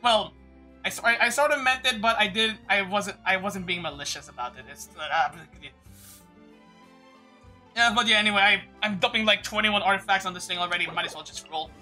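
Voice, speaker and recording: A woman's voice: medium pitch, fairly quick, bright, forceful tone, soft background music. A woman livestreaming a game with casual, rambling commentary, often stammering and swearing, on a streaming microphone.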